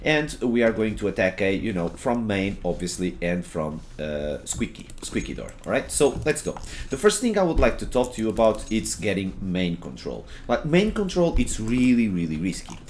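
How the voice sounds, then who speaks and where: A young man from Portugal with a Portuguese accent, giving a relaxed, halting game tutorial at home with lots of fillers and restarts. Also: fairly low pitch, slight background noise. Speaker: gaming tutorial creator. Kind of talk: casual game tutorial commentary. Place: home, at his computer.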